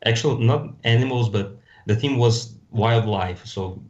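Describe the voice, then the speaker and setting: A man's voice: medium pitch, unhurried, a little mellow, highs cut off. A Brazilian man with a Portuguese accent, a podcast guest talking casually and hesitantly, often restarting words as he looks for them in English. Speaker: guest designer. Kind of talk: casual interview chat.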